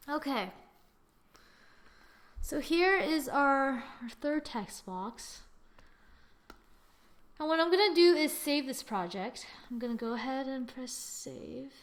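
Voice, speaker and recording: A young woman hosting a screen-recorded software tutorial, chatting through each step as she works at her computer. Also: sits high, lively intonation, takes it slowly, pauses often. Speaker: tutorial host.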